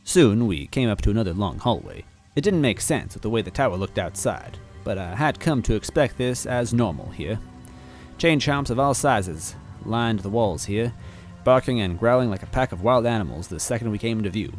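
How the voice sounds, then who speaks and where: A man reading a story aloud in first person, with deadpan, mock-earnest narration. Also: fairly low pitch, moderate pace, soft background music. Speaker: story reader.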